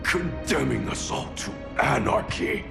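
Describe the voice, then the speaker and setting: An actor playing a brawny, sharp-witted fighter in a video game, measured and probing in his questions. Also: medium pitch, big pitch swings, creaky, music in the background, exaggerated voice. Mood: wary, challenging. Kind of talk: cinematic video game acting.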